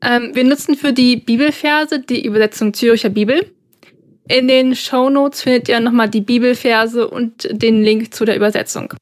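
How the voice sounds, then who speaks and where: Young woman co-host, slightly high voice. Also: steady tone, normal speed. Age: young adult. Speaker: podcast co-host. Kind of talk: casual podcast conversation.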